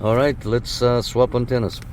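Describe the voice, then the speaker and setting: A man hosts a casual hands-on radio demonstration from a car. His voice sits at a middle pitch, with short, repeated call-style phrases. Vehicle and outdoor noise runs behind him. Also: level tone, moderate pace, darkish tone. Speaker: host of a CB radio antenna test. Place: inside a parked car and outside beside it. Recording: noisy car and outdoor background.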